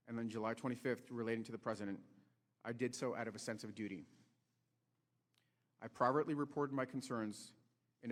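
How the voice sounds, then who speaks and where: Male witness delivering prepared testimony, mid-range pitch, formal and deliberate, with long room reverb. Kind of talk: prepared statement read aloud.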